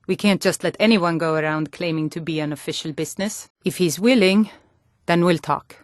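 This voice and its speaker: A woman acting a low, blunt fantasy guardswoman. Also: normal speed, dry recording, studio quality, lightly acted. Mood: weary, frank. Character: stern, world-weary female Nord city guard and townswoman. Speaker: video game voice actor. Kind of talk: fantasy game dialogue.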